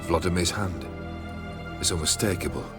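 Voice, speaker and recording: A man's voice: deep voice, moderate pace, over music, lightly acted. An actor playing a haughty, skeptical nobleman in a video game, mocking and imperious. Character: haughty, sardonic cursed nobleman, male, skeptical.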